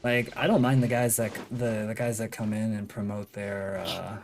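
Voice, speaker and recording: A man's voice: lowish voice, level tone, normal speed, creaky, a little hiss or hum. A man chatting casually and in a rambling way as he streams his gameplay. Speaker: game streamer. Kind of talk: casual livestream gaming commentary. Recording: game music behind the voice. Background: video game music.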